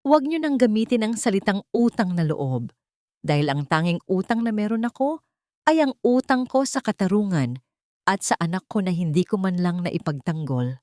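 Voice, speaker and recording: A woman voicing an anguished but resolute grown man in an audio drama, speaking in a measured, pleading way. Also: mid-range voice, animated, a little mellow, no echo, clean studio sound, close to an everyday voice. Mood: firm, aggrieved. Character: anguished, resolute grown son confronting his mother.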